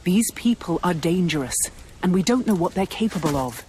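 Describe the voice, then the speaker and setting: An actress playing a calm, serious, protective ally in a video game, speaking in an earnest, cautioning way. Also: female voice, fairly low pitch, normal speed, slight background noise, close to an everyday voice. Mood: grave, cautioning. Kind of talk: dramatic video game acting.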